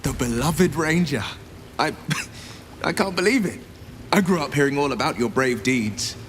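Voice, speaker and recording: An actor playing an earnest, gallant young monster hunter in a fantasy game. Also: male voice, fairly high pitch, expressive, measured pace, a bit bright, a little hiss or hum. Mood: starstruck.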